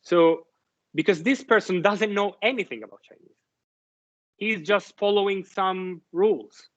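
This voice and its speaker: A man giving a university lecture, explaining ideas haltingly with many restarts and fillers, often checking with his listeners. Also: high voice, animated, highs cut off.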